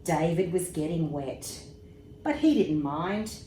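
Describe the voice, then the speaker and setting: Slow-paced woman reading a bedtime story, roomy echo. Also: low-pitched. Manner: unhurried, expressive, pauses for asides about pictures. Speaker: storybook reader, emergency services commissioner. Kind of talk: bedtime story read aloud to young children. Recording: roomy echo with faint background noise.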